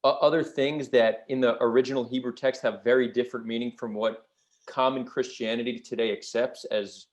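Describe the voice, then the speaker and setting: A man hosting a podcast, asking questions in a halting, hesitant way. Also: mid-range voice, steady tone. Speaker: podcast host. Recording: muffled lo-fi audio.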